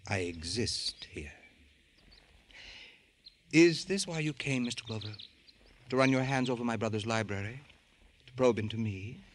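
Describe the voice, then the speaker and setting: A man's voice: medium pitch, lively intonation, a little slow, pauses often, close to an everyday voice. A man playing a bitter, cultured doctor in an old radio drama, speaking in a precise, sardonic, theatrical way. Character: bitter, cultured male doctor, resentful brother of a rich man. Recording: old radio recording with faint noise.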